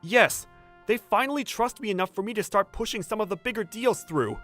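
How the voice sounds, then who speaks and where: A man acting an earnest, put-upon young office worker who narrates and voices his troubles in a manga dub, with expressive delivery. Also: high-pitched, a little fast, faint music behind. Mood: indignant, upset.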